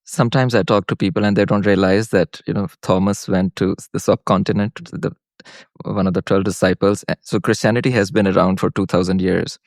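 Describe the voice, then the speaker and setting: Low, flat-voiced man from Pakistan. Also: no room sound, studio quality. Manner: halting, frequent restarts and fillers, earnest. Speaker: podcast guest. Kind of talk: casual conversational storytelling.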